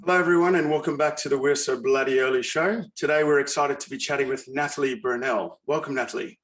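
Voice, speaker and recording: Man hosting, hesitant and relaxed. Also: mid-range voice, fairly quick. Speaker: host. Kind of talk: podcast host introduction.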